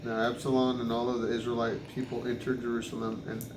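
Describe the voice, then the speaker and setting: Male Bible reader, even-toned and halting on names, recorded in an echoey room with noticeable background noise. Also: mid-range voice. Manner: halting, stumbles over names and restarts. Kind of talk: reading scripture aloud.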